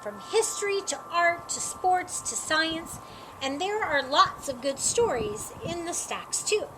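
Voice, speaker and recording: A friendly storybook librarian voiced by a woman reader speaks in a high, bouncy voice. She is welcoming, instructive and encouraging with young pupils. Background noise is clearly audible. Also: sing-song, slow pace, heavily acted. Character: friendly, helpful woman librarian guiding schoolchildren. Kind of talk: storybook character read aloud.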